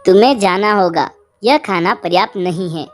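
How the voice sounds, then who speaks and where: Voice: medium pitch, normal speed, faint music behind, natural voice. A performer voicing a firm, commanding mother cow in a cartoon fable. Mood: firm, insistent. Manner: firm, instructing. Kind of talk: cartoon animal fable dialogue.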